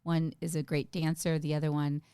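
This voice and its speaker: Chatty middle-aged woman, slightly low voice. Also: level tone, fairly quick, warm tone, clear, resonant tone. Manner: chatty, emphatic, enthusiastic. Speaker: podcast guest, stand-up comedian. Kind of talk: casual conversational storytelling.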